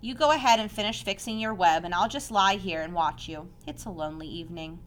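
A woman's voice: lowish voice, fairly quick, natural voice, poor audio. A woman voicing an innocent young male pig in a storybook, earnest, childlike and eager, with an anxious, affectionate temper. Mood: sleepy, downcast.

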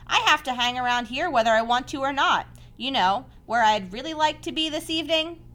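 A woman's voice: high voice, animated, brisk, sharp tone, pitched above the performer's own voice. A woman voicing an innocent young male pig in a storybook, earnest, childlike and eager, with an anxious, affectionate temper. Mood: wistful.

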